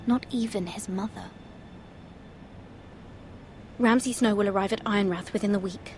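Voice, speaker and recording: A woman's voice: mid-range voice, fairly even intonation, fast talker, slight background noise, lightly acted. An actress playing an earnest, anxious young lady-in-waiting in a video game, pleading in formal, deferential speech. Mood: urgent pleading. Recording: faint music behind the voice.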